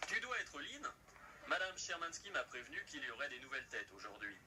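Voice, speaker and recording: An actor playing a male high school teacher in a cartoon, speaking in a formal, animated way. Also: brisk. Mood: welcoming. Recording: distant voice, faint background noise.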